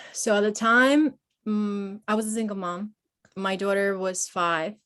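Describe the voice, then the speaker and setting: A woman in her forties, born in Peru, talking as a podcast guest about her life, with many pauses and fillers. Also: mid-range voice, fairly even intonation, fairly bright tone. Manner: hesitant, many fillers, speaks openly and personally. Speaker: guest, Marine veteran and nonprofit founder. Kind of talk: conversational podcast interview.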